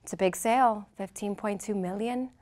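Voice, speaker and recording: A woman's voice: mid-range voice, normal speed, darkish tone, close to an everyday voice. A woman playing a poised, flirtatious businesswoman in a screenplay table read, with a coy, teasing delivery. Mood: teasing, businesslike.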